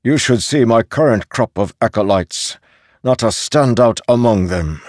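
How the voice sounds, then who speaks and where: Male narrator as elderly fantasy commander: impatient, peremptory, raised pitch, lively inflection. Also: measured pace, no echo, clean studio sound, close to an everyday voice. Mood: decisive. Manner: forceful, peremptory.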